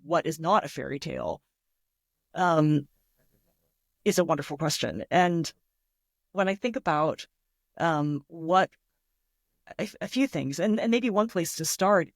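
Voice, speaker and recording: A woman's voice: low voice, animated, measured pace, pauses often, no room sound. A woman talks as a guest writer in an interview. She is reflective and enthusiastic, working through ideas with imagined examples. The sound is dry, with hardly any room on it. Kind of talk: thoughtful interview talk.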